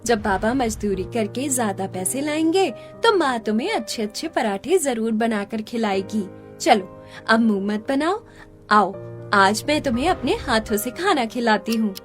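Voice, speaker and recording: Cartoon role: poor, anxious young mother played by a woman, high voice, earnest and pleading, gentle with family. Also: lively intonation, normal speed, soft background music, lightly acted. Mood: gentle, soothing. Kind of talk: Hindi cartoon story dialogue.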